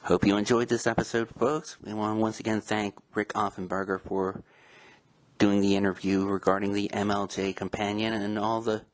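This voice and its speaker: A man's voice: on the low side, flat delivery, moderate pace, no echo, rough recording. A man hosting a show, giving a plain presenter's sign-off to listeners. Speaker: podcast host.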